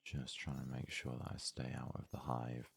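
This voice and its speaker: Very deep, gravelly, hushed ASMR man. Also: monotone. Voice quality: husky, breathy, hushed voice. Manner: murmured, unhurried thinking aloud. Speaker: let's play host. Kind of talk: ASMR gaming commentary.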